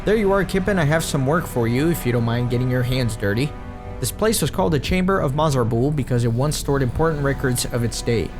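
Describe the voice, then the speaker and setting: A man's voice: medium pitch, fairly quick, close to an everyday voice. Dwarf foreman, polite, businesslike, plainly read quest dialogue a man voicing him, game music in background.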